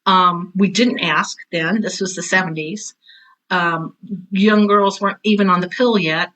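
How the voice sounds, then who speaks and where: A woman in her sixties with an American accent and a bright, forceful voice. She talks candidly about herself in conversation, direct and plain-spoken, often slipping into little anecdotes. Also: fairly low pitch, fairly even intonation, measured pace, low-quality recording. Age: 60s. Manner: blunt, forthright, anecdotal. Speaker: interview guest, drama teacher and author.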